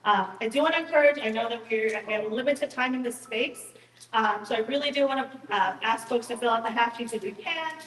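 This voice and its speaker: A woman moderating a town meeting, speaking loudly and directly with a light joking touch. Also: a little high, a little fast. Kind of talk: event moderation. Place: large hall at a town meeting. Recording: echoey hall, voice from far off.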